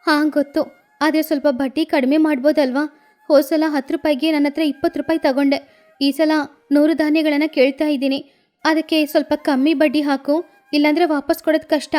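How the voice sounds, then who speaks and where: A woman's voice: high voice, level tone, fairly quick, a little mellow, close to an everyday voice. A woman voicing a worried, pleading female sparrow villager in a children's cartoon story.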